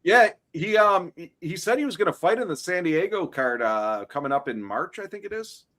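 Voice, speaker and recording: A man's voice: high-pitched, animated, normal speed, fairly bright tone. A man co-hosting a fight stream, telling a long story and swearing as he stumbles and restarts his words. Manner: rambling, profane, stumbling over words. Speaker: co-host. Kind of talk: casual chat and storytelling.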